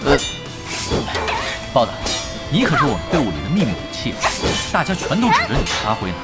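A man playing a young online-game team leader in a Chinese audio drama, brisk, bossy and commanding. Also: medium pitch, wildly swooping pitch. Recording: music bed under the voice.

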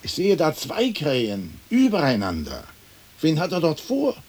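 Haughty aristocrat, husky voice, slow declamation, indignant and vengeful, theatrical radio drama. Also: male voice, on the high side, wildly swooping pitch, darkish tone, heavily acted. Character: haughty, indignant lord turning into a bird, vengeful. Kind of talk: stylized German radio play acting.

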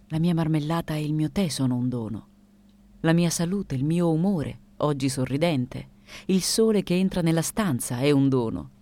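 A woman acting an elderly female artist in a radio play. The voice is low and soft-edged, the delivery deliberate and theatrical. She sounds lucid and dryly ironic, an unconventional mind thinking aloud. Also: close to an everyday voice.

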